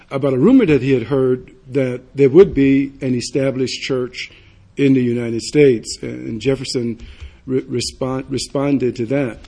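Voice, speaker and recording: A man, a student on a panel at a public forum, speaking haltingly and restarting words. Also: medium pitch, dark tone, slightly rough.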